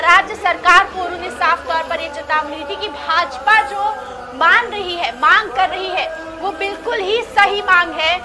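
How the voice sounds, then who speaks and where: Very high-pitched emphatic female news anchor. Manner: emphatic, repeating phrases for emphasis. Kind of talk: excited breaking news voice-over commentary. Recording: music and crowd noise behind voice. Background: loud music and crowd sounds.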